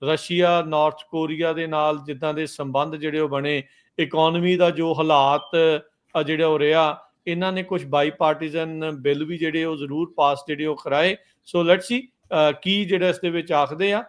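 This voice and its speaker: A man hosting radio news, giving conversational commentary in a halting way and mixing in English words. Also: a little high, fairly even intonation, clear, resonant tone.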